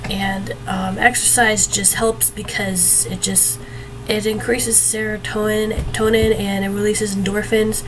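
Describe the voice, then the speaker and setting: Young female vlogger, under the weather, earnest and meandering; mid-pitched, audible background noise. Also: level tone, unhurried. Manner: earnest, rambling with many fillers and restarts. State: slightly sick, voice a bit off. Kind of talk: casual advice talk to camera.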